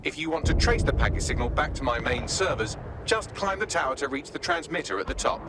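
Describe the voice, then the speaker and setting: A man's voice: on the high side, expressive, brisk, noisy background. An actor voicing a dry, chipper AI assistant in a video game, articulate and understated as he gives instructions. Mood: wry, helpful. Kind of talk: scripted game dialogue, guiding instructions.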